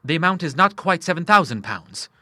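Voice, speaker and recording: A man's voice: a little high, big pitch swings, brisk, pushed and bright, no room sound, pitched above the performer's own voice, heavily acted. A man voicing an earnest, honourable grown son in an audiobook, speaking formally and with emphasis. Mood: earnest, worried. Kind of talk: audiobook character voice.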